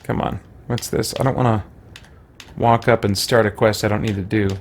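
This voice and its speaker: A man's voice: on the low side, steady tone, moderate pace, noisy background. A man giving casual let's play commentary, muttering to himself as he plays. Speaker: gaming channel host. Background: music.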